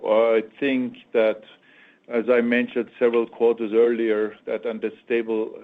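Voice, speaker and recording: Male chief executive, flat intonation, halting repetitive phrasing, earnings call, narrowband phone line. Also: mid-range voice. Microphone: phone conference call.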